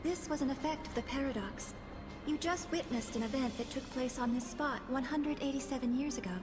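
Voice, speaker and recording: A woman's voice: sits high, brisk, lightly acted. An actress playing a polite young female android with a precise, detached, formal manner in a video game. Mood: matter-of-fact. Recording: game music behind the voice.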